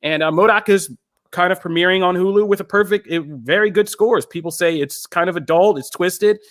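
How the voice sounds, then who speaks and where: A man's voice: sits high, fairly quick. An American man from Pennsylvania, talking casually and in a rambling way as a podcast host giving pop culture recommendations. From Pennsylvania, United States.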